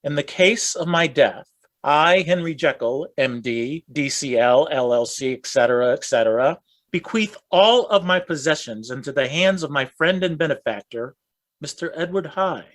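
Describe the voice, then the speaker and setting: A man's voice: fairly high pitch, animated, moderate pace, fairly bright tone. A man playing a puzzled, uneasy associate in a Gothic radio play, speaking formally and with some hesitation. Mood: formal, careful.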